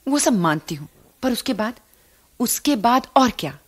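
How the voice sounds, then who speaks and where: A woman playing an affectionate, reproachful middle-aged wife in a radio drama, speaking in a familiar, earnest, gently scolding way. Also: a little high, very animated, a little slow, breathy edge, full character voice. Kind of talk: radio play acting.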